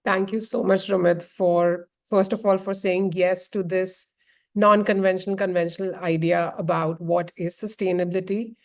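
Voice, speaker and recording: A woman's voice: fairly low pitch, steady tone, normal speed. A woman from India moderating a webinar over a video call, earnest and rambling, with frequent fillers.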